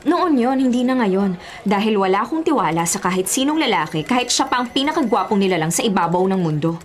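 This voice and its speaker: A woman's voice: medium pitch, lively intonation, fast talker, a little hiss or hum, natural voice. An actress playing a bitter, prickly young office woman in a Tagalog radio drama, speaking with a Filipino accent in a curt, sarcastic way. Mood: irritated, bitter.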